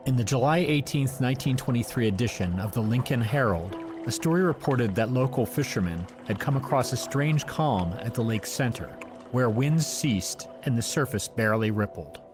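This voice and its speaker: A man's voice: medium pitch, lively intonation, moderate pace. A man reading scripted documentary narration as the voice of an audio encyclopedia. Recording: music under the narration. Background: background music.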